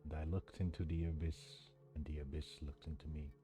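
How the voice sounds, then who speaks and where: A man's voice: very deep voice, level tone, brisk. A man giving casual let's-play commentary in a musing, understated way. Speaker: video game streamer. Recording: clear music behind the voice. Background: music.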